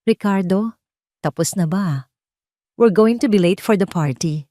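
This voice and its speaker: A woman's voice: medium pitch, measured pace, warm tone, no room sound, clean studio sound, lightly acted. A woman voicing a haughty older rich mother-in-law, hard, cold and disdainful, in an audio drama. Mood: icy disdain.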